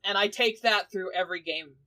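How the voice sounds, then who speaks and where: A woman's voice: medium pitch, fairly quick, pushed and bright. A woman who plays in a tabletop podcast, chatting casually with the group in an emphatic, giggly manner. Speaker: podcast cast member, tabletop player.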